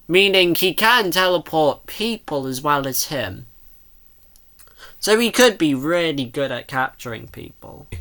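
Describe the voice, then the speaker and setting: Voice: mid-range voice, animated, a little slow, sharp tone. A person giving casual, rambling reaction commentary, thinking aloud and speculating as the host.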